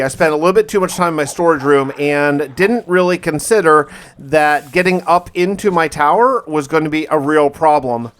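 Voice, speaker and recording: Higher-voiced man narrating gameplay theatrically. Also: a bit bright, a little hiss or hum. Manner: theatrical, in-character roleplay delivery with repeated phrases. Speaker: gaming video host. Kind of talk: dramatic first-person gameplay storytelling.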